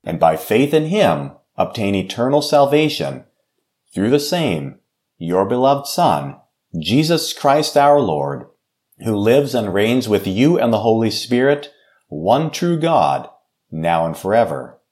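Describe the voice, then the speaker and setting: An American man speaking as a pastor in a measured, solemn and formal devotional style. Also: lowish voice, very animated, darkish tone. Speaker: pastor and podcast host. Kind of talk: devotional scripture reading, short sermon and prayer.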